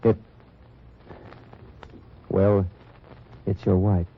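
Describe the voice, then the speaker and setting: A man's voice: sits low, little pitch movement, drawn-out words, husky, heavily acted. A man playing a grim undercover agent on old radio. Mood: sober. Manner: clipped hard-boiled narration. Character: tough wartime American undercover agent, grim, steady. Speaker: narrator and lead, undercover agent. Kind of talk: 1950s radio drama acting. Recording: dull noisy old radio recording.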